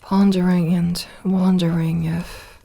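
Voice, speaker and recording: A woman playing a lonely, lovelorn vampire in an intimate ASMR audio roleplay, gentle and wry, speaking softly with hesitant pauses. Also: on the low side, level tone, vocal fry, heavily acted. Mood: wistful, sorrowful. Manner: hesitant, soft-spoken, slow with pauses.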